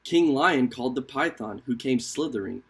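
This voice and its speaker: A man reading a children's animal folktale aloud as an expressive storyteller. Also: mid-range voice, moderate pace, breathy edge.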